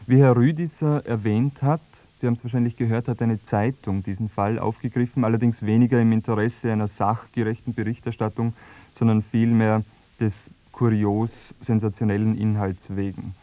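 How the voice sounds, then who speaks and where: An actor playing a sober male radio presenter, moderating a mock documentary in measured, formal, carefully built sentences. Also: lowish voice, steady tone, dark tone, no room sound, close to an everyday voice.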